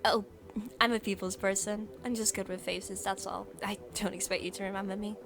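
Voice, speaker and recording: A young woman playing a possessive female vampire student in an intimate ASMR roleplay, softly spoken, partly whispered, coy and taunting. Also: medium pitch, level tone, close to an everyday voice. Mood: friendly, coy. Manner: soft, slow, coy talk sliding into taunting. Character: female student vampire, possessive, sweet-talking, menacing predator.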